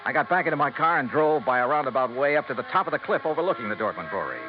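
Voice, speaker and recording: A man's voice: medium pitch, animated, rapid-fire, lightly acted. An actor with an American accent playing a dogged, wry male investigator in a 1950s radio detective drama. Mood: measured, intent. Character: male insurance investigator, dogged, wry, narrating his case. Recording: muffled vintage radio recording, music behind.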